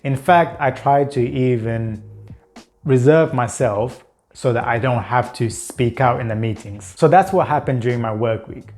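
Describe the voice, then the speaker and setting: A man's voice: mid-range voice, unhurried, darkish tone, faint music behind. A young man hosting a personal vlog, talking earnestly about his week, with repeated words and occasional blocks from a stutter. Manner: reflective and earnest. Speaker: channel host.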